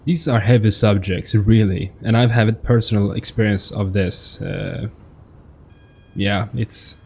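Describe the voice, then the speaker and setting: A man's voice: on the low side, steady tone, a little slow, darkish tone. A man giving casual let's play commentary, musing aloud hesitantly as he reacts to the game. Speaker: gaming streamer. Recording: faint noise, game audio behind. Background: video game sound.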